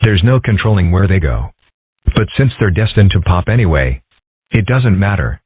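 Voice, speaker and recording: A serene, wry cartoon fox schoolboy, played by a man, with a notably low and husky voice. He stays calm and deadpan. The recording is thin and tinny, like a phone line. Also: moderate pace, no echo. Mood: musing. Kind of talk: comic strip dub acting. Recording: tinny phone-like band-limited audio.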